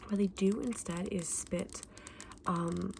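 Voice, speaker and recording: A woman playing a friendly, candid dragon egg seller in a whispered, soft-spoken ASMR roleplay, explaining things with small hesitations. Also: medium pitch, steady tone, slow, creaky, a little hiss or hum. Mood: fond, explaining.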